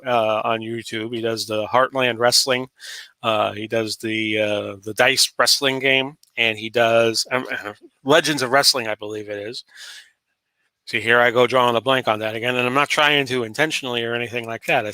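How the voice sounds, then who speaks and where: A man in his late forties from Florida hosts a solo talk show, rambling casually with plenty of fillers and false starts. His voice has a bright, forceful edge and sits at an ordinary pitch. The sound is dry and close, with almost no room around it. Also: steady tone, normal speed, dry recording. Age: 40s. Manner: rambling, frequent fillers and restarts. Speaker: podcast host.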